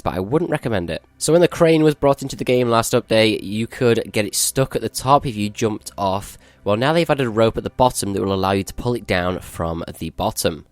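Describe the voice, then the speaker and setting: A man's voice: fairly low pitch, no echo. A male gaming channel host giving a matter-of-fact, explanatory walkthrough of a game update.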